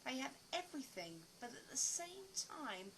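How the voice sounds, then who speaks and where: Woman acting an earnest, bright-voiced survivor. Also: high voice, sing-song, moderate pace, a little hiss or hum, exaggerated voice, low-quality recording. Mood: earnest, intense. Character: earnest, philosophical woman survivor, a former stage actress. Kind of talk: audio play acting.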